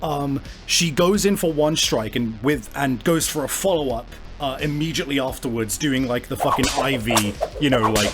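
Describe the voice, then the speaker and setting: A man's voice: mid-range voice, normal speed, hardly any pauses. A man improvising narration as a game master, descriptive and often searching for his words. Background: faint music.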